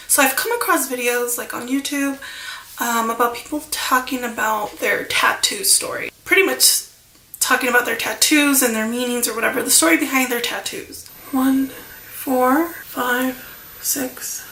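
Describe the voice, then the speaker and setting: A woman's voice: high-pitched, pushed and bright. A woman in her thirties telling a casual storytime on her channel, chatty and rambling, with a stuffy voice from a cold. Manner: rambling, chatty, with fillers like "like" and "you know". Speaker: vlogger. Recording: echoey room.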